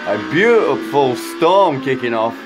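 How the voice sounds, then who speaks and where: A man with a croaky voice, chatting excitedly through a racing game as a gaming YouTuber, rambling with fillers. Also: mid-range voice, unhurried, music in the background. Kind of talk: casual racing game commentary.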